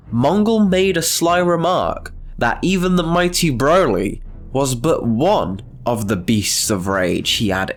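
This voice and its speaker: A man narrating a scripted story as the host of a channel. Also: mid-range voice. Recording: faint music behind the voice.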